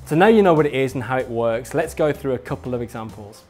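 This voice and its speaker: A man's voice: medium pitch, expressive, quick pace. Emphatic instructional man over music. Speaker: instructor, presenter. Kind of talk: scripted instructional narration addressed to viewer.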